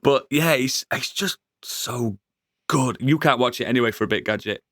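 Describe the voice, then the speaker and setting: Man, casual podcast co-host, swears a lot, excitable, dry close sound. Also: mid-range voice, moderate pace, no echo. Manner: sweary, excitable, laughs often. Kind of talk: casual review chat.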